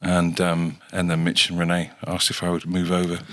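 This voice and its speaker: Low-voiced Englishman, hesitant and relaxed. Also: moderate pace. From England. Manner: hesitant, many ums, relaxed. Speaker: rugby coach, guest. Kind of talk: casual interview chat.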